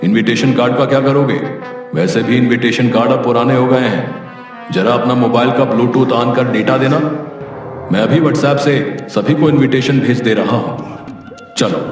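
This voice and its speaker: A man's voice: mid-range voice, unhurried, natural voice. A man acting as a shrewd, grumbling, middle-aged miserly merchant in a cartoon story, blunt and dismissive as he haggles. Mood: pleased, scheming. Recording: echoey, distant, faint music behind.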